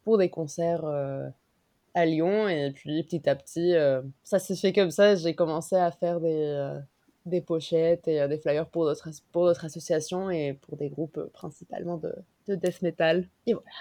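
A woman's voice: low voice, moderate pace, warm tone, no room sound, clean studio sound. A woman, a guest on a podcast, talking casually with many hesitations and restarts. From Mexico. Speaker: guest, concert organizer.

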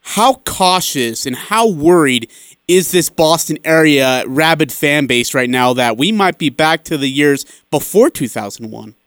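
A man with a fairly high, very clear and smooth voice hosts a sports radio interview. He sets up his questions at some length, and the sound is close and dry. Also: animated, unhurried, a bit bright, no echo.

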